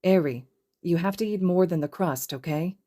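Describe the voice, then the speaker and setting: Woman reading as a calm, low-voiced teenage boy. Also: moderate pace, lightly acted. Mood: calm, gentle. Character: protective, laid-back teenage boy, gentle with a child.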